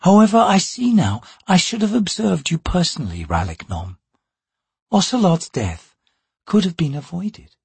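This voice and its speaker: Reader doing a cold slow-talking assassin lady, soft and breathy, dry. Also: medium pitch, very animated, no echo, pitched above the performer's own voice, exaggerated voice. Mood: cool. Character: cold, composed woman of an assassins' guild.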